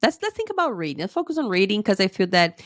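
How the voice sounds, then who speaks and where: A woman English teacher giving a live-streamed lesson, a little sick with a cold and a sore throat, talking slightly slowly and often checking in with "okay?". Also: mid-range voice, big pitch swings, no echo, clean studio sound. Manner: chatty, lots of "okay?" checks with viewers. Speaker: English teacher and IELTS tutor.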